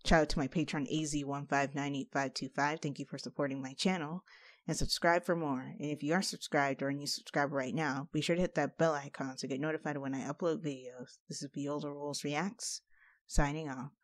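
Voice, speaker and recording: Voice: medium pitch, fairly even intonation, brisk, no room sound. A reaction video host giving casual, unscripted commentary into a close microphone.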